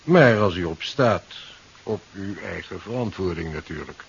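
An actor playing a cautious, formal hospital doctor in a 1970s Dutch radio drama, measured and polite. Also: male voice, sits low, lively intonation, creaky. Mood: cautious, concerned. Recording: dull, hissy 1970s radio recording.

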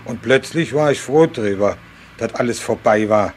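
A man's voice: mid-range voice, animated, moderate pace, a little hiss or hum, lightly acted. An actor in a radio drama playing a man over fifty, a former deserter, recounting memories in plain, folksy regional dialect. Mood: gripped, reminiscing. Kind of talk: first-person recollection in radio drama.

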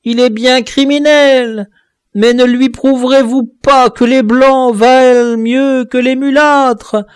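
A man reading an audiobook voices a vengeful male dwarf jester in a high, loud, strained voice. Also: big pitch swings, takes it slowly, dry recording, clean studio sound. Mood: wailing pleading. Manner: shrill snarling threats, later high wailing pleas. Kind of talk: audiobook character voice.

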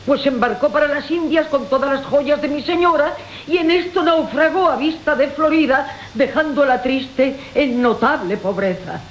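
A woman's voice: high-pitched, normal speed, natural voice, rough recording. An actress playing a wily, greedy old female servant in a theatrical radio comedy, fawning and ceremonious, with a Castilian accent. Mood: fawning, mournful. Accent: Castilian Spanish. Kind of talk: theatrical Golden Age comedy radio acting. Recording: hissy 1980 radio drama recording.

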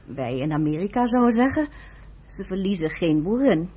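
A woman acting a composed, kindly American nurse in a Dutch radio play, speaking in a measured way. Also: mid-range voice, sing-song, mellow, husky. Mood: warm, composed.

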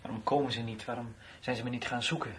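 An actor playing a weary, anxious twenty-one-year-old soldier who broods and mutters in a radio drama. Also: male voice, fairly even intonation, quick pace, a bit bright, lightly acted. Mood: anxious, frustrated. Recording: noisy old radio play recording with rumble.

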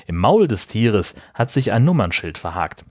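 A man's voice: on the low side, very animated, moderate pace, no room sound, clean studio sound. A man co-hosting a podcast, reading a scripted retelling of a film. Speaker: podcast co-host. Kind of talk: scripted film recap narration.

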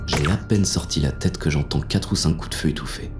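A man's voice: extremely low pitch, a little fast, loud music behind the voice. An actor playing a crude, confused man on the run, narrating in the first person with colloquial dialogue in an audio drama. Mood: tense narration. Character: confused hunted man, crude and lustful, narrating his escape.

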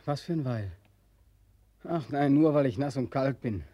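A male actor as a young soldier back from war, bitter and anguished. His voice is husky and rather dark, and he broods in old radio-play style. The sound is very dry and close. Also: medium pitch, measured pace, dry recording, close to an everyday voice. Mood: weary, self-mocking. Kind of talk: 1940s German radio acting. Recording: dry 1940s radio studio recording.

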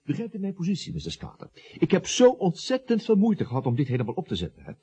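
A man acting in a Dutch radio drama as a glib, excitable, persuasive estate agent who schemes and coaxes. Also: on the high side, quick pace, slightly rough, full character voice. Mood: coaxing reassurance. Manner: glib, coaxing, excitable with hesitations. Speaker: radio play actor. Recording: muffled old radio play recording.

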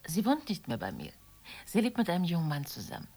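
An actress playing a calm, frank middle-aged mother who reasons matter-of-factly with a friend in a naturalistic radio drama. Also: on the low side, quick pace, faint music behind, full character voice.

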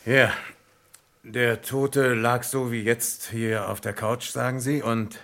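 A man's voice: mid-range voice, little pitch movement, a bit bright, a little hiss or hum. A man playing a courteous, probing police inspector who hums thoughtfully in an old German radio crime drama. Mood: probing, calm. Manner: deliberate questioning with thoughtful hums.

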